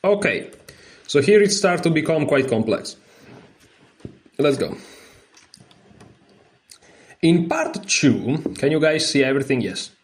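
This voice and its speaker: A man who is a non-native English speaker, giving a casual lesson, reading aloud and adding candid, informal asides as he thinks out loud. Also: fairly high pitch, very animated, moderate pace, long pauses, slight background noise. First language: not English. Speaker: chess teacher and streamer.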